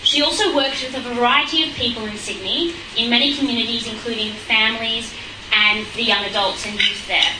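A woman's voice: a little high, steady tone, fairly quick. A woman hosting a church event as MC, introducing the speaker in a friendly way with frequent ums. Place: event hall with audience. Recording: echoey room, far mic, noisy.

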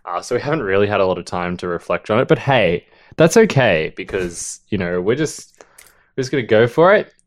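A man's voice: on the low side, big pitch swings, brisk. A man hosting a casual book podcast, playful and rambling, with a lot of fillers and jokes. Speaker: podcast host.

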